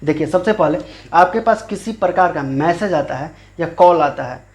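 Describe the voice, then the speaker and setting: Higher-voiced man explaining. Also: lively intonation, moderate pace. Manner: informal, repetitive, rephrases often. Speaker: YouTube channel host. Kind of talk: explanatory scam-awareness tutorial.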